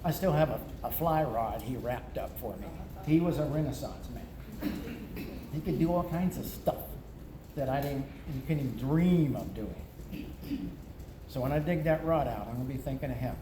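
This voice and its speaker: A man's voice: mid-range voice, moderate pace. An older man giving a plainspoken eulogy for an old friend, reminiscing at a memorial gathering. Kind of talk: memorial remembrance. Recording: echoey room with background noise.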